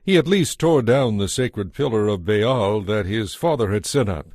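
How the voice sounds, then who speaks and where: A man narrating a dramatized audio Bible in a measured storytelling manner. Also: on the low side, animated, darkish tone, no echo, clean studio sound.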